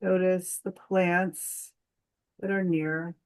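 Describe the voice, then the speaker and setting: A woman leading a guided meditation in a gentle, soothing, unhurried manner, leaving long pauses between phrases. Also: on the low side, level tone.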